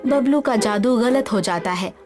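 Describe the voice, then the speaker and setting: A woman works as a storyteller, reading a scripted children's tale. Her voice is fairly high, and music plays clearly behind her. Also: measured pace. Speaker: story narrator.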